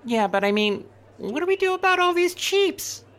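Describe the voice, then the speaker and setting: An actor voicing an excitable, cheeky young rabbit sidekick in a comic radio play, eager and wisecracking. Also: extremely high pitch, normal speed, full character voice. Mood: eager, wry.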